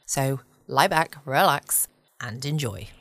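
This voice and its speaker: A woman's voice: a bass voice, animated, takes it slowly. A young English woman hosting a cozy late-night podcast from home, chatty and soothing. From England. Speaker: podcast host.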